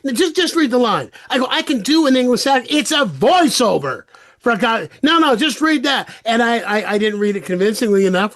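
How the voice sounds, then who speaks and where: High-voiced man hosting a lively chat. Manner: animated storyteller, repeats words, laughs often. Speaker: podcast host. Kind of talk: casual panel chat.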